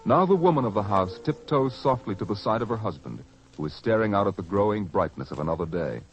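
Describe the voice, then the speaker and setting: A man narrating a 1940s radio drama in a dramatic, measured announcer style. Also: fairly low pitch, lively intonation. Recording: old lo-fi radio recording with music.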